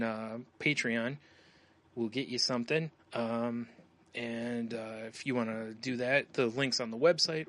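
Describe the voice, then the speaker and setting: A man's voice: mid-range voice, fairly bright tone. An American man hosting a podcast in a casual, loose, rambling way. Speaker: podcast host. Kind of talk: casual chat, show plugs.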